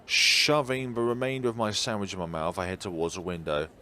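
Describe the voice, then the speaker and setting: A man's voice: low-pitched, flat delivery, a little fast, sharp tone, a little hiss or hum. A man reading a visual novel aloud theatrically as a game streamer, slipping out of the story for asides and self-corrections.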